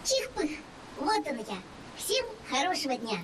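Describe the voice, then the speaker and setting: A woman's voice: sits high, sing-song, a little slow, recorded from a distance, crowd noise. A woman voicing a perky, boastful little male hedgehog for a children's TV cartoon, in a chirpy, playful manner. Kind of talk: children's TV cartoon character acting.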